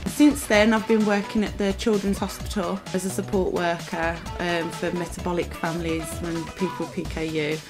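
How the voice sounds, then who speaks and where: A woman in her twenties who works as a hospital support worker, speaking calmly in a documentary interview. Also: fairly low pitch, normal speed, hardly any pauses, music in the background.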